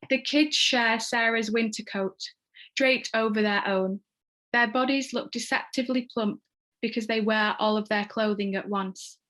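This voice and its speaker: A woman's voice: on the high side, fairly bright tone. A woman giving scripted narration, reading out screenplay stage directions. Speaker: stage-direction reader and host.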